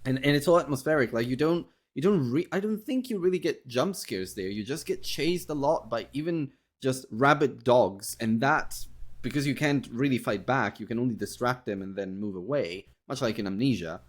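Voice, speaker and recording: A man hosting a casual interview podcast, speaking in a halting, rambling way and often repeating his words. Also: medium pitch, animated.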